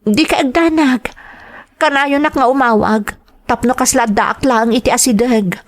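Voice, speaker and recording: Woman voicing a high, determined wronged daughter. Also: measured pace. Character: wronged, determined adult daughter and young mother. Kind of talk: radio drama acting.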